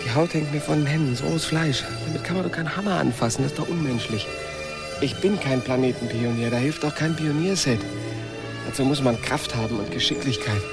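An actor playing a dreamy, grumbling leader of planet settlers in a German radio play, theatrical and exclamatory. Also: male voice, medium pitch, fairly quick, loud music behind the voice, lightly acted. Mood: exhausted, whining.

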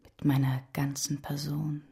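A low, mellow, scheming baroness. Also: female voice, level tone, a little slow. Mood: seductive, pleading. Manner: breathy asides, coaxing, gushing. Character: flattering, seductive, scheming baroness. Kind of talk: German radio drama acting.

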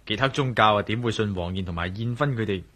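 An actor playing a shrewd, composed adventurer in a Cantonese radio drama, measured, wry and self-assured, with a Hong Kong accent. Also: male voice, on the low side, fairly bright tone. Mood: matter-of-fact. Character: shrewd, composed male adventurer and amateur sleuth.

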